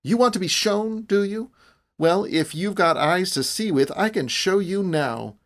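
A man's voice: high-pitched, expressive, measured pace, pitched above the performer's own voice. A man voicing a stubborn, defiant young woman in a storybook, challenging and intense. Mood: angry, challenging.

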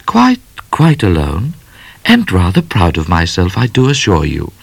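A man's voice: deep voice, wildly swooping pitch, a little slow, gravelly, slightly rough, no room sound, heavily acted. A man voicing a romantic, self-assured genteel young lady in a storybook, effusive, coy and theatrical. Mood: triumphant.

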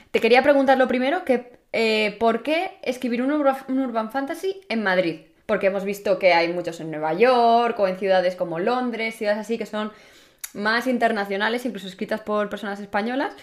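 Woman podcast host, chatty and excited, slangy easygoing interviewer. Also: a little high. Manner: chatty, enthusiastic, informal slang. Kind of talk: casual podcast chat.

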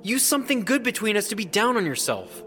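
A man's voice: high-pitched, big pitch swings, fast, over music. A young American man playing an anxious, sensitive college student in love, in naturalistic audio drama style. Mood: pleading, tender. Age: young adult.

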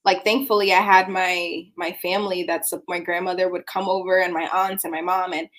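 A woman's voice: on the low side, flat delivery, brisk, fairly bright tone. A woman hosting a live stream interview who talks casually and uses many "like" fillers.

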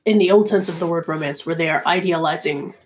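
A woman's voice: on the low side, quick pace, a little hiss or hum. A woman co-hosting a podcast while recovering from a cold, speaking tentatively and searching for the right words. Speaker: podcast co-host. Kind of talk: casual podcast discussion.

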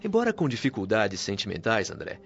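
A man's voice: on the low side, huge pitch swings, fairly quick, creaky, a bit bright, faint music behind, exaggerated voice. An actor playing a kindly, patient male spirit guide who explains things earnestly in a radio drama. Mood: gently admonishing. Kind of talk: radio drama acting, didactic dialogue. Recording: dull lo-fi radio drama sound.

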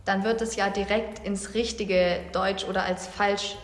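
A woman's voice: medium pitch, little pitch movement, a little slow. A young woman from Saxony with a slight Saxon accent, chatting casually in a podcast discussion and thinking aloud. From Saxony, Germany. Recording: echoey room with long reverb.

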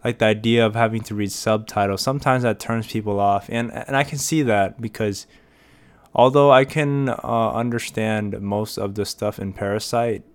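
A man's voice: on the low side, steady tone, moderate pace, clear, resonant tone. A young American man hosting a casual solo podcast, rambling with many fillers and restarts. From United States. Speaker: podcast host.

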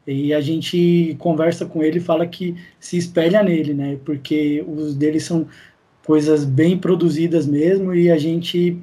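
A man's voice: fairly high pitch, little pitch movement, a little slow, mellow, breathy edge. A Brazilian man chatting casually as a guest in a podcast interview. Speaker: podcast guest, audio drama creator.

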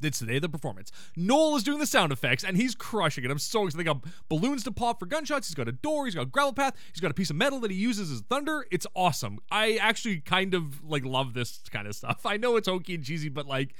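A man hosting a podcast, giving a casual, slangy comedic recap in an excitable way and acting out quotes. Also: high-pitched, fast, few pauses, dry recording, clean studio sound. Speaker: podcast host.

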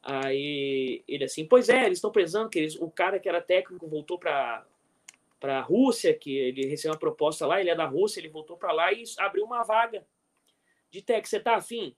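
A middle-aged Brazilian man with a Brazilian Portuguese accent, a guest coach telling animated anecdotes in a casual interview and often checking that the listener follows. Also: a little high, fairly quick. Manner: animated anecdotes, frequent "entendeu?", chuckles.

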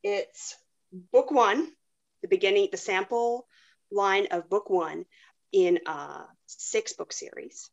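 Casual woman podcast host, medium-pitched voice. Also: slow pace, vocal fry, lo-fi sound. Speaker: podcast host introducing clips. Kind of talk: casual chat about audiobooks.

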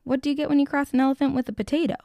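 A woman's voice: high voice, steady tone, very fast, mellow, no echo, clean studio sound. A woman voicing a stubborn, mischievous young male animal with a flippant, dismissive manner in a children's storybook. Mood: playful.

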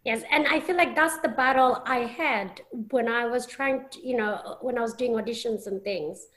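A woman's voice: fairly high pitch, normal speed, fairly bright tone, rough recording. A young English woman, a podcast guest, talking casually with many restarts and fillers. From England.

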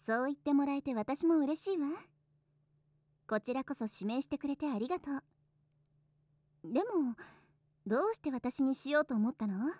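A woman's voice: high-pitched, animated, moderate pace, long pauses, darkish tone, no echo. A woman playing a teasing, doting older-sister hostess in a Japanese voice drama, with a coy, soothing delivery. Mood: tender. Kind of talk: Japanese situation voice drama acting.

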